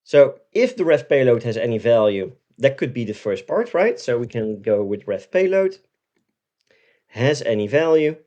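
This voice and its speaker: A man in his forties presenting a software tutorial step by step, often checking in as he explains. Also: medium pitch, big pitch swings, normal speed, muffled recording. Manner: explanatory, step by step, with frequent "right?" check-ins.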